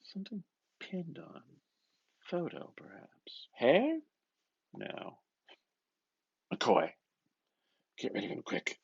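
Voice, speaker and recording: A man gives an expressive, theatrical reading of a literary novel, moving between murmured asides and louder phrases. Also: high-pitched, slow pace, gravelly, pauses often, slightly rough, dry recording, whispered, highs cut off. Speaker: reader of a novel.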